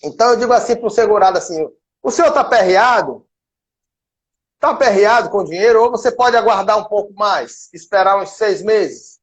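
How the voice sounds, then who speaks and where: Lawyer from Salvador, softened Bahian accent. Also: male voice, sits high, animated, fairly quick. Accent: Bahian Brazilian Portuguese, softened. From Salvador, Bahia, Brazil. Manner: explains through worked examples, addresses listener directly. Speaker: guest lawyer and professor. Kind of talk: explanatory live-stream talk.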